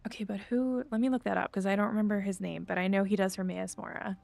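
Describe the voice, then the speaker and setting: A woman's voice: medium pitch, little pitch movement, fast, vocal fry, darkish tone. A woman streaming a game, chatting casually and thinking aloud as she plays. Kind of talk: casual livestream chat while playing.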